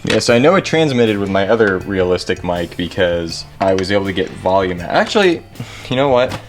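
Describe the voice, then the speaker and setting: A man's voice: low voice, very animated, moderate pace, faint music behind. A man hosting a repair video in casual chat, wry, joking and rambling, with some swearing.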